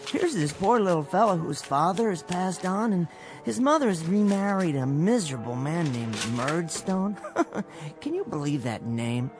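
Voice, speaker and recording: Nerdy meek bank teller man, high voice, swoops around a lot, apologetic and rambly, radio play. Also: lively intonation, normal speed, over music. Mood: distracted, enthusiastic. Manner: fussy, rambling, apologetic, earnest. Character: meek, bookish, absent-minded little male bank teller. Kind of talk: radio drama acting.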